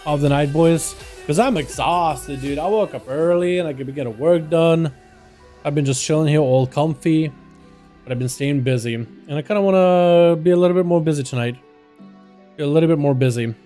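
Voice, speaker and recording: High-voiced casual game commentator. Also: male voice, brisk, mellow, soft background music. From Russia. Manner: chatty, calls out to chat as "boys". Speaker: livestream host and duel caster.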